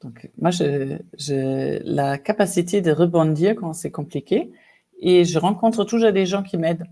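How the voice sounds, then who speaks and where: A woman in her fifties from Germany with a German accent, a coach telling her life story as a podcast guest, lively with many fillers. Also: on the low side, unhurried. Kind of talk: casual storytelling interview.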